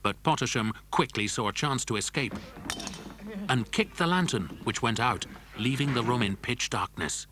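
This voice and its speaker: A man's voice: mid-range voice, lively intonation, normal speed, noisy background. A man narrating a scripted children's adventure story. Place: dry studio.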